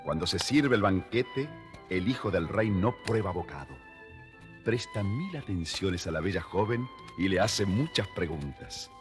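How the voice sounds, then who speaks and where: A man's voice: sits low, expressive, a little slow. A man narrating a scripted fairy tale expressively for young listeners. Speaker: storyteller, narrator. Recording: vintage story record with music behind.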